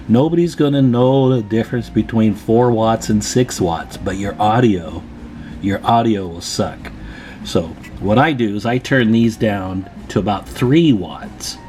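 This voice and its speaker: A man's voice: lowish voice, expressive, unhurried, darkish tone, slight background noise. A man presenting a hands-on repair tutorial in a casual, plain-spoken, advising way.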